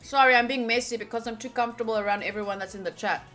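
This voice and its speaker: A woman's voice: on the high side, rapid-fire, fairly bright tone, faint music behind. A woman game streamer reading game text aloud and chatting informally with casual asides.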